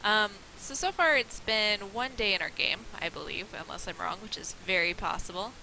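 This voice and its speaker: Nasal woman, mid pitch, slight cold; rambling, self-mocking let's play host; noisy desk-mic recording. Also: expressive, normal speed, vocal fry, sharp tone. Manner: chatty, self-deprecating, rambling. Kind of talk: casual gameplay commentary.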